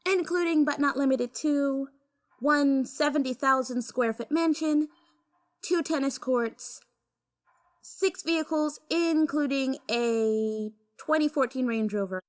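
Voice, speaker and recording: A woman acting in an audio drama as a precise, dutiful secretary, reporting in a formal, measured manner. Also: sits high. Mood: businesslike.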